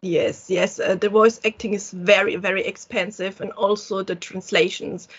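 German woman, halting careful English. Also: medium pitch, little pitch movement, husky, lo-fi sound. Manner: halting, searching for words, frequent restarts. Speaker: solo indie game developer. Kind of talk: casual interview answers.

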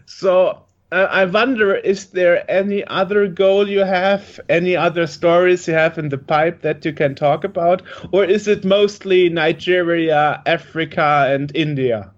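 A man with a high voice asks questions as an interviewer. He sounds curious and casual, and his audio is muffled and lo-fi. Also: steady tone, a little slow, hardly any pauses. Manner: inquisitive, questioning. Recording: dull lo-fi audio.

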